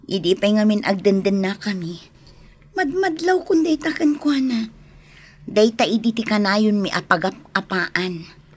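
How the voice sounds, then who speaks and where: Husky, aggrieved Ilocano young wife. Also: female voice, medium pitch, big pitch swings, unhurried. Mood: guarded. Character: resigned, aggrieved young wife separated from her husband. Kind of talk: Ilocano radio drama acting.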